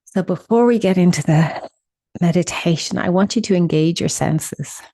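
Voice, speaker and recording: A woman leading a guided meditation in a soothing, instructional manner with deliberate pauses. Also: fairly low pitch, gravelly, dark tone, no room sound.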